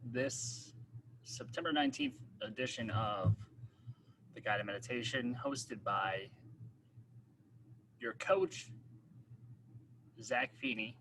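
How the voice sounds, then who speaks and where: A man coaching a guided meditation in measured, instructional phrases with pauses. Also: mid-range voice, animated, a bit bright, a little hiss or hum, low-quality recording.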